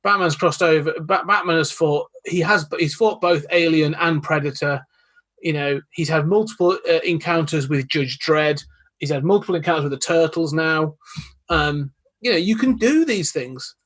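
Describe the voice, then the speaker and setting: A man's voice: on the high side, normal speed, fairly bright tone. A young man, a podcast guest, talking eagerly and rambling with lots of restarts. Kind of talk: casual podcast chat.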